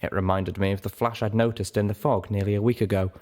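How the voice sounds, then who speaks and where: A man's voice: on the low side, little pitch movement, quick pace, a little mellow. A man narrating a story in the first person as a dramatic scripted reading. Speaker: story reader.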